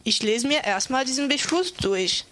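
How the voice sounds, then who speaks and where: A woman's voice: high-pitched, animated, normal speed. A woman acting an assertive activist in an amateur radio play, speaking firmly and curtly with defiance. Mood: firm, defiant. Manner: firm, curt, defiant. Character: Anna, assertive young activist woman facing a police search. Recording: noisy amateur recording with clicks.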